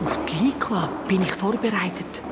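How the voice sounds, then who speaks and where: A woman with a Swiss German accent voicing a secretive figure who talks slowly and pleads with a magic stone in a children's radio play. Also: mid-range voice, heavy background noise, lightly acted. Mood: pleading, secretive. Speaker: voice actor.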